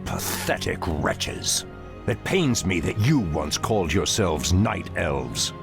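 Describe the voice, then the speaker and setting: Super deep gravelly old elf archdruid, grave n formal, game cutscene w music. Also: male voice, big pitch swings, exaggerated voice. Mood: solemn, commanding. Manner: slow, grave, archaic formal speech. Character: ancient, solemn night elf archdruid, deep and commanding. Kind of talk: dramatic fantasy game acting. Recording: orchestral game music behind voice. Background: loud music.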